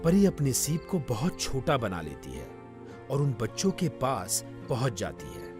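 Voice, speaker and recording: A man's voice: medium pitch, huge pitch swings, measured pace, over music. A man narrating a scripted children's story as its storyteller.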